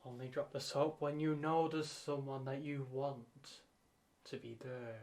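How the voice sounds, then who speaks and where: Slow-talking man joking through reactions. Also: medium pitch, little pitch movement, a little mellow. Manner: joking, rambling, with hesitant restarts. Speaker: co-host reacting. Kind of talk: casual reaction commentary.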